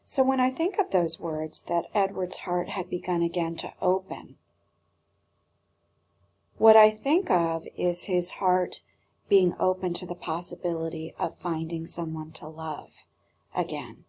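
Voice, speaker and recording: A woman teacher reading a storybook aloud expressively, with dramatic pauses and hushed phrases. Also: fairly low pitch, slightly rough.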